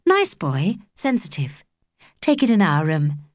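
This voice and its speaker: A British woman voicing a kindly, affectionate mother in a children's audiobook, gently reasoning. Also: fairly low pitch, wildly swooping pitch, a little slow, no echo, clean studio sound. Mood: gentle. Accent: British English. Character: kindly, placating mother defending others.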